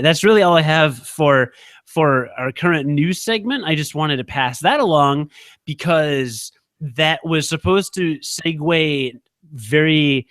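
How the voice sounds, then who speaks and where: A man with a somewhat high voice banters on a podcast with quick, teasing wit. The sound is dry and close to the mic, with no room around it. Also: a bit bright, dry recording. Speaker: podcast co-host.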